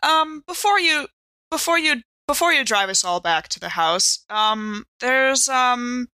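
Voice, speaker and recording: A woman playing a tabletop roleplaying game, improvising dialogue in a halting, deliberate way. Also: high-pitched, lively intonation, bright, forceful tone, dry recording.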